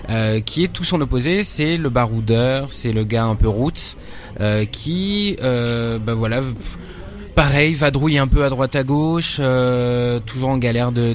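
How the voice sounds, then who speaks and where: French guy, young actor-director, lots of euh and restarts, mid-pitched voice. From France. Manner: hesitant, many fillers and restarts. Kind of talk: interview answers.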